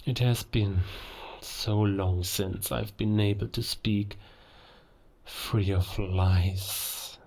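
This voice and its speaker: A slow, husky werewolf played by a man, dark and low in tone. He broods with deliberate menace and bitterness, like a villain telling his own story in a cinematic audio drama. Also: fairly even intonation, heavily acted. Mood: quiet menace. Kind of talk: cinematic audio roleplay monologue.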